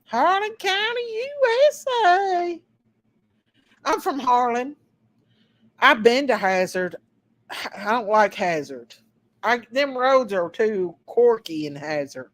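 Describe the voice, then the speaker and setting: A woman's voice: fairly high pitch, animated, slow. A middle-aged woman from southeast Kentucky with an Eastern Kentucky Appalachian accent, chatting folksily with her livestream viewers and laughing easily. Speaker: channel host. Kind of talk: casual livestream chat reading comments.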